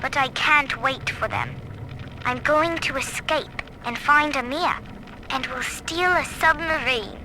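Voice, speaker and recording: A woman's voice: high-pitched, slow. An actress playing a lonely but defiant young girl, confiding dreamily in her diary in a game. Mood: hopeful, defiant. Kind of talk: secret audio-diary confession. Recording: noisy, hissy vintage audio log.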